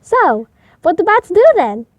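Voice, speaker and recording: A woman voicing a stubborn, curious, polite young boy hippo for a storybook, in an emphatic, drawn-out way. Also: extremely high pitch, big pitch swings, no room sound, pitched above the performer's own voice, heavily acted. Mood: eager, curious.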